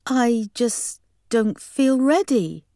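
A woman's voice: high voice, sing-song, brisk, a little mellow, no room sound, studio quality, pitched above the performer's own voice. A woman voicing a defensive, indignant and whiny nineteen-year-old bride in an audiobook. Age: young adult.

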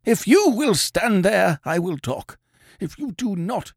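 A gravely ill detective, played by a man reading a storybook, croaks and gasps with imperious authority. The voice is creaky and broken, swinging widely in pitch, and sounds close and dry. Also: big pitch swings, measured pace, no room sound, exaggerated voice. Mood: sharp, commanding. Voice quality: croaking, gasping, spasmodic. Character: gravely ill, imperious male detective, feeble yet masterful.

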